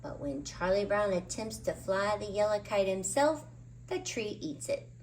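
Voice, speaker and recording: Animated woman reading a storybook. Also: medium pitch, a little hiss or hum. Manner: expressive, emphatic, drawn-out key words. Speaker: children's storybook reader. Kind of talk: animated read-aloud narration of a picture book.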